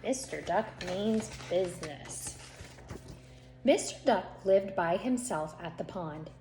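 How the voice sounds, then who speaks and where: A woman reading a children's picture book aloud as an expressive storyteller, with deliberate, dramatic phrasing. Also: lowish voice. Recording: echoey room with faint noise.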